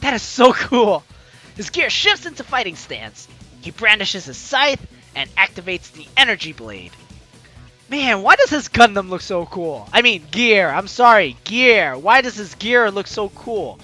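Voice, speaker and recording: A woman hosting a let's play, chatty and excitable, reading game narration aloud with drama and commenting as she goes. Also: medium pitch, a little slow. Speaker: gaming video host. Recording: game music behind the voice. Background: game music.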